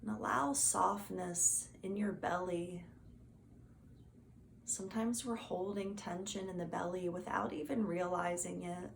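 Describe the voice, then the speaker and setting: Woman, meditation teacher, very unhurried pace, soft and soothing, creaky edges, faint background noise. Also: lowish voice, fairly even intonation. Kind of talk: guided meditation instruction.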